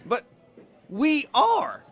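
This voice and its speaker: High-pitched, animated loudmouth barfly. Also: male voice, extremely slow, faint music behind, heavily acted. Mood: bewildered, indignant. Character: boisterous Irish-American barfly man who loves being centre of attention. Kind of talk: audio drama acting.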